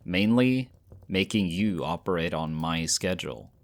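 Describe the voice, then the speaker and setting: A man's voice: sits low, lively intonation, a little slow, no echo. A man playing a cocky young shifter alpha in an audio roleplay, bantering smugly as a teasing twin brother. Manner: smug, bantering.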